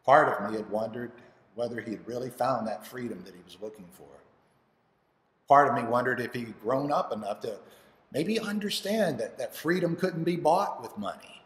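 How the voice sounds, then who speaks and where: A man acting a devoted, grieving father in a dramatic monologue, telling of his lost son haltingly and earnestly, with dramatic pauses. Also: medium pitch, lively intonation, close to an everyday voice. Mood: quiet, pained. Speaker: actor performing an audition monologue. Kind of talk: dramatic first-person monologue. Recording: echoey hall with long reverb.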